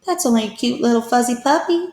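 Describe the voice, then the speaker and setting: A woman voicing a sneaky storybook burglar in a hushed, exaggerated delivery, reading for children. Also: a little high, fast talker, close to an everyday voice. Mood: dismissive, coaxing. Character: sneaky, giggly burglar whispering about a dog. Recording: echoey room.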